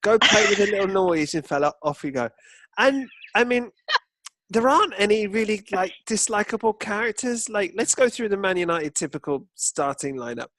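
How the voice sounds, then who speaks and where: A man's voice: high voice, expressive, normal speed, a bit bright, dry recording. A man co-hosting a football podcast, chatting casually with irreverent, sweary, joking banter.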